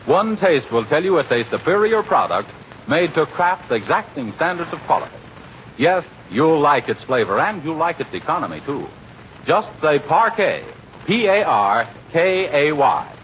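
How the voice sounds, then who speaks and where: A man's voice: fairly high pitch, big pitch swings, normal speed. A man announcing in a scripted 1940s radio style, polished and emphatic. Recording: dull, slightly noisy old radio recording.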